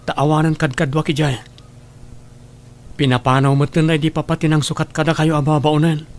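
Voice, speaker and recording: Actor as high-voiced earnest Ilocano son. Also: male voice, little pitch movement, normal speed, lightly acted. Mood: earnest, reassuring. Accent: Ilocano Filipino. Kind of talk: Ilocano radio drama acting.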